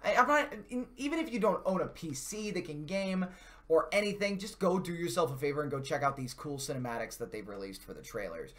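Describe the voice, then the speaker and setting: A man's voice: on the high side, big pitch swings, fairly quick, fairly bright tone. A man hosting a gaming live stream, talking casually and enthusiastically in a loose, rambling, slangy way. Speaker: host of a video game channel's live stream.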